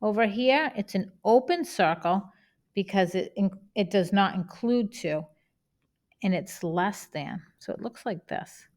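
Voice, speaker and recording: A woman's voice: medium pitch, expressive, a little slow, darkish tone. A woman with a Boston accent teaching a math lesson, explaining each step plainly and checking in with "okay?".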